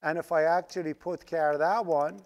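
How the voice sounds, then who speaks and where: A man's voice: fairly high pitch, fairly even intonation, normal speed, darkish tone, dull audio. A man whose first language is Farsi leads a live coding lecture, talking through each step as he types. He keeps checking in with the class and explains in a patient, step-by-step way, recorded over his screen capture. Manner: explains step by step while typing code, asks "right?" often. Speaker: programming instructor. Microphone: screen recording with voice-over mic.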